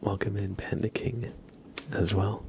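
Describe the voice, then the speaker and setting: Soft spoken asmr streamer guy, slow n whispery, bit of gravel, chatting casually. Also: little pitch movement, a little hiss or hum. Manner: soft-spoken, slipping between whisper and low murmur. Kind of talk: ASMR, casual livestream chat.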